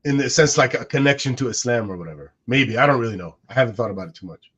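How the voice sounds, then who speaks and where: A man's voice: mid-range voice, animated, fast, a bit bright, slightly rough. A man in his forties whose first language is Arabic, musing aloud in a casual podcast conversation with frequent restarts. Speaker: co-host. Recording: dull lo-fi sound.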